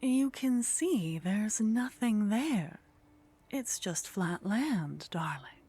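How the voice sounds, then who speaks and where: A woman playing a teasing, condescending female vampire in a soft ASMR roleplay, languid and haughty, often slipping into whispers. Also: medium pitch, expressive, very slow, slightly rough, exaggerated voice. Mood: wry, coaxing. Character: teasing, condescending female vampire, sly, centuries-worldly contact.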